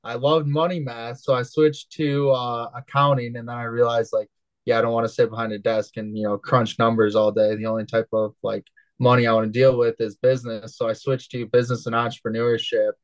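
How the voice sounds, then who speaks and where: A man in his twenties from West Michigan, a guest on an interview show telling his story in a relaxed, rambling way with many fillers. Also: mid-range voice, a little fast, no echo, rough recording. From West Michigan, USA. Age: 20s. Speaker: guest, cannabis entrepreneur.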